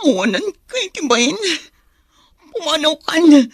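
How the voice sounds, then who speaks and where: Very high-pitched, tearful young daughter. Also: female voice, very animated, normal speed, no room sound, clean studio sound. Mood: hurt, bitter. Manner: tearful, pleading. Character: young pregnant unmarried daughter, tearful, ashamed and pleading. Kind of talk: Ilocano radio drama acting.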